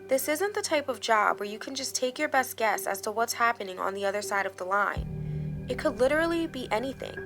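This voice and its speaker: Higher-pitched woman narrating, slightly creaky voice. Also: expressive, a little fast. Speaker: horror story narrator. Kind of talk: scripted dramatic first-person narration. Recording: background music under the voice. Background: music.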